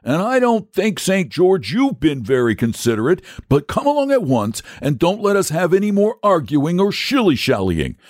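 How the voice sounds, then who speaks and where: A man's voice: high-pitched, big pitch swings, normal speed, hardly any pauses, a little mellow, no echo, studio quality, pitched above the performer's own voice. A man voicing a big, lazy, self-important storybook dragon with jovial, clipped old-fashioned upper-class slang. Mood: blustering, self-righteous.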